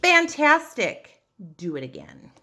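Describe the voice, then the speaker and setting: A woman voicing a bold, cunning young princess in a children's storybook, exclaiming with emphatic flattery. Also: high-pitched, slow, voice pushed up, full character voice. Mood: gushing flattery. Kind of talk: children's storybook read-aloud.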